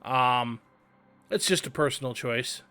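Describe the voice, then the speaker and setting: A man's voice: mid-range voice, a little slow, pushed and bright. A man hosting a casual let's-play, explaining in a chatty way with fillers and asides. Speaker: game channel host.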